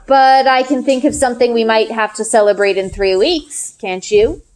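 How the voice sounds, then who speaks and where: A woman voicing a kind, steady father in a storybook, speaking in a gentle, reassuring manner. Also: on the high side, normal speed, slight background noise, natural voice. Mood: playful.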